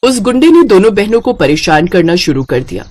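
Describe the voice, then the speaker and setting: A woman's voice: medium pitch, very animated, fairly quick, a little mellow, no room sound. A woman narrator reading a scripted children's story.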